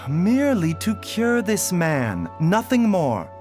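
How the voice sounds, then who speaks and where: A man voicing a composed, enigmatic doctor in a video game, speaking in a polite, measured and formal manner. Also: high voice, wildly swooping pitch, a little mellow, faint music behind, full character voice. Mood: polite, guarded.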